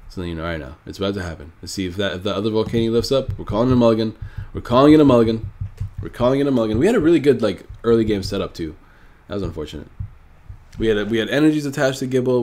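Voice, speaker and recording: A man's voice: fairly low pitch, darkish tone. A man with a stuffy, nasal voice from a cold, casually thinking aloud while commenting on his own card game match. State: sick, stuffy nose. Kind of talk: live gameplay commentary.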